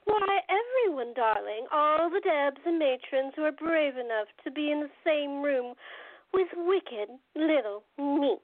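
A high, slow-drawling diva who purrs "darling" at everyone and revels in being wicked, played by a woman in an amateur radio play. The sound is narrow and phone-like, with no room around it at all. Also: animated, no echo. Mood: teasing, seductive. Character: flirtatious wealthy woman film star, wicked hostess.

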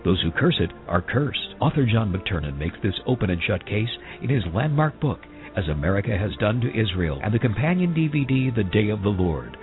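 A man reading a dramatic, scripted radio advertisement in a grave, measured manner. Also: fairly low pitch, sing-song. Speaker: commercial voice-over announcer. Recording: tinny broadcast with music behind.

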